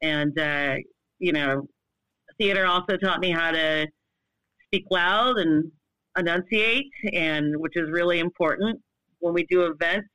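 A woman in her fifties, a longtime bartender and brand ambassador, answering interview questions in a loud, well-projected, animated voice. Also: fairly low pitch, a little slow, dry recording, low-quality recording. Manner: animated, laughs often.

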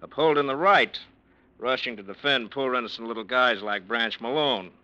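Man playing a bright-voiced, sardonic police lieutenant. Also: mid-range voice, normal speed, highs cut off, natural voice. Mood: sarcastic. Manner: sardonic, needling, tough. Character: sarcastic, cynical homicide police lieutenant. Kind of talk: 1940s radio crime drama acting.

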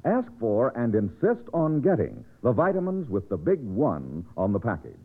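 A man's voice: medium pitch, huge pitch swings, moderate pace. An American man announcing on vintage radio with an emphatic, polished sales delivery. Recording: tinny, rough vintage radio broadcast.

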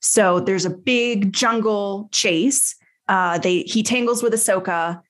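A woman's voice: mid-range voice, takes it slowly, a bit bright. Female podcast host, mid-range voice, chatty and playful, retelling episodes in slangy conversational storytelling. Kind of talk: lively episode recap in casual chat.

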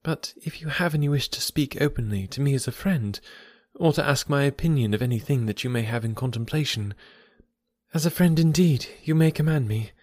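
A man voicing a young gentlewoman who speaks eagerly and earnestly in a period audiobook. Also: mid-range voice, no echo, studio quality, voice pushed up, close to an everyday voice. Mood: gentle, earnest. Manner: quick eager pleading.

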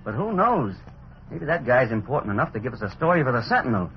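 An actor playing a brash, wisecracking newspaper reporter with sarcastic slang, in 1940s radio style. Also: male voice, mid-range voice, very animated, quick pace. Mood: curious. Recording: dull, noisy old radio recording.

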